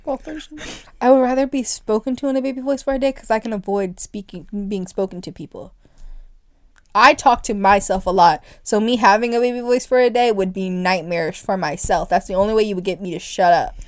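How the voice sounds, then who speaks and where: Animated young woman, chatty podcast co-host. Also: a little fast, no echo, clean studio sound. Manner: animated, rambling, gets loud and shrill when excited. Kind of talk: casual chat.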